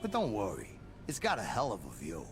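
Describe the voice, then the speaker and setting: A smug mob heavy, lowish voice, sneery and bouncy, music under. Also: male voice, huge pitch swings, fairly quick. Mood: mocking. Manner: mocking, threatening. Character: cocky male mob gunman, sneering.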